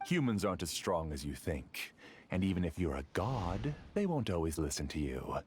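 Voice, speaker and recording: An actor playing an obsessive, grieving scholar villain in a video game, theatrical and emotional. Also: male voice, sits low, big pitch swings, moderate pace, darkish tone, a little hiss or hum. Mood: menacing, defiant. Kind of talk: dramatic video game voice acting. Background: game music.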